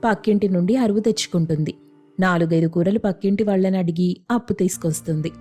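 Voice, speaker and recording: A woman's voice: on the low side, fast, warm tone, faint music behind, lightly acted. A woman playing a weary, pleading married sister caught between relatives in a story. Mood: resigned. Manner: pleading, resigned.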